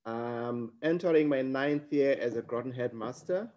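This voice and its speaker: A man's voice: medium pitch, a little slow, a little mellow, lo-fi sound. A middle-aged man, a school headmaster, speaking earnestly and emphatically over a video call from a study. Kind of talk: welcoming webinar address. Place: home study with bookshelves, indoors. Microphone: video call webcam.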